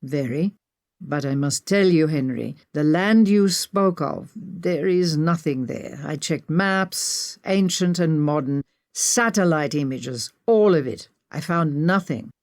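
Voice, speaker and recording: A woman's voice: sits low, slow pace, darkish tone, no echo, clean studio sound. Woman doing a courteous Egyptian-accented man. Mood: assured. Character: courteous, warm Egyptian man, a resourceful contact.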